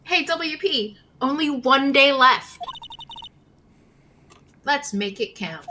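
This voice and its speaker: A woman's voice: high voice, expressive, slow pace, pushed and bright, slight background noise, full character voice. A woman voicing a perky, teasing young female legal assistant in an amateur live game dub. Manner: playful, teasing. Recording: music playing behind the voice.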